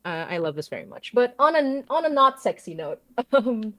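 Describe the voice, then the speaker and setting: A woman's voice: fairly high pitch, sing-song, fairly quick, bright, forceful tone. A woman in her thirties from the Philippines, hosting a casual stream and chatting with enthusiasm and frequent giggles. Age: 30s. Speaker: podcast host and guest writer.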